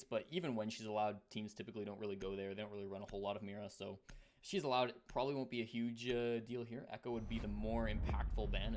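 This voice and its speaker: Fast-talking Canadian male esports caster. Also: on the low side, slight background noise. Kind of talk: live esports commentary.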